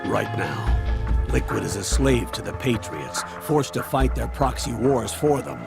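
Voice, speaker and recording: A grave, gravelly-voiced advisor. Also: male voice, mid-range voice, big pitch swings, music in the background. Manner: measured, grave explaining. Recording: game cutscene with loud score.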